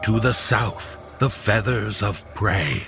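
A man playing an ominous spirit in a radio horror drama, solemnly intoning ritual rhymes in a gravelly voice. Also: fairly low pitch, expressive, over music, exaggerated voice. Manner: solemn, incantatory, deliberate. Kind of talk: old time radio horror acting.

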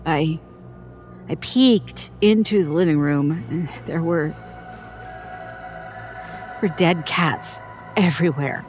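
An actress playing a frightened woman haltingly recounting a childhood horror in an audio horror drama, sounding haunted. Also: deep voice, a little mellow. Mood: uneasy, haunted. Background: music.

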